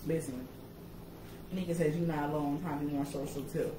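A woman's voice: low voice, level tone, fast. A woman in her thirties with a New York accent, co-hosting a casual livestream in a laid-back, blunt, joking way. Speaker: page admin and co-host. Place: indoor kitchen. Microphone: camera or laptop mic. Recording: echoey kitchen from a distance, with noise.

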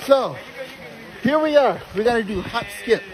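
A man's voice: very high-pitched, expressive, crowd noise. A man chatting casually to viewers as he films a hike, with a playful, exclamatory manner and folksy turns of phrase. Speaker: vlogger filming a hike. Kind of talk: casual trail vlog chat addressed to viewers. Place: outdoors on a canyon trail by a stream. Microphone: handheld phone camera. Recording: noisy outdoor phone audio, rushing stream.

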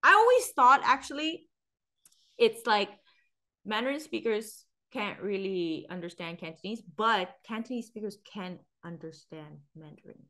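A young woman from Macau co-hosting a podcast over a video call, chatting animatedly and laughing as she reads comments. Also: a little slow. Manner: animated, laughs often, swings high when mocking. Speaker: podcast co-host. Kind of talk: casual chat reading comments. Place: indoor room, video call split screen.

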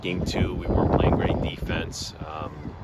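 A man in his fifties with a very low voice gives a measured postgame interview at a ballpark. He talks plainly, circles back with fillers and restarts, and steady outdoor noise hums behind him. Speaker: head baseball coach.

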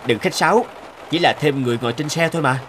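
A man's voice: on the high side, quick pace, heavy background noise, natural voice. A man playing a kindly, reflective truck driver in a cartoon, telling his story earnestly and reassuringly. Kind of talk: dramatic cartoon voice acting. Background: music.

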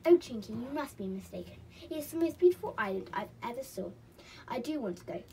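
A woman's voice: a little high, expressive, brisk, a little mellow, recorded from a distance, a little hiss or hum. A woman voicing a stubborn, wistful young girl in a children's storybook, insistent and full of exclamation. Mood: longing.

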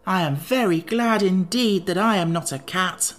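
A woman's voice: fairly low pitch, moderate pace, pitched above the performer's own voice, natural voice. A woman voicing an anxious, simple and polite male pumpkin-headed figure in a storybook, earnest and worried. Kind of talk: audiobook character voice.

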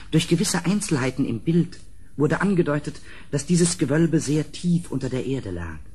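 An actor playing an uneasy gentleman who recounts a gothic horror in an audio drama, in a measured, grave, literary manner. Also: medium pitch, husky. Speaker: narrator and character.

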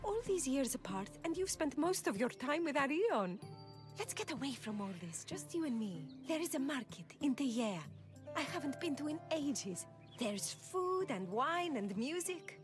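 Affectionate flirty single mom farmer in a video game, super expressive, music underneath. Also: female voice, high voice, moderate pace. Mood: warm, inviting. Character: warm single-mother farmer, affectionate and flirtatious adult woman. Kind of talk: video game cutscene acting. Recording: music bed under the dialogue.

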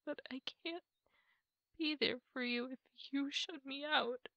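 Woman performing as apologetic, emotional girlfriend for intimate ASMR roleplay. Very slow, hesitant and soft, recorded close and dry. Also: high-pitched, no echo, dull audio. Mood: tearful pleading. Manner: halting, soft, breathy delivery with pauses. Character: apologetic, tearful girlfriend pleading to make up.

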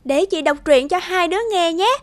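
A woman's voice: very high-pitched, normal speed, dry recording. A woman voicing a caring, slightly bossy big-sister girl in a children's cartoon, playful and instructive. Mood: eager, teacherly. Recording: music under the dialogue.

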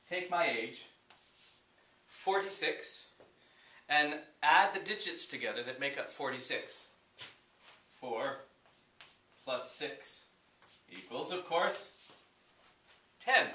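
A man in his forties gives a math lesson at a chalkboard, unhurried and full of wonder. His voice is bright and forward, picked up by a camera some distance away in a roomy, echoing space. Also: mid-range voice. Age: 40s. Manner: slow, unhurried, wondering, with fillers. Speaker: teacher. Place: room with a chalkboard, indoors. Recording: echoey room, voice from a distance.

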